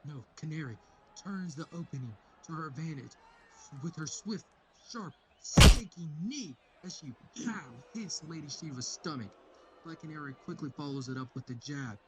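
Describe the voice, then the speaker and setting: A man voicing an excited fight announcer, dramatic play-by-play with emphatic bursts of volume. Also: on the high side, normal speed, very noisy, natural voice.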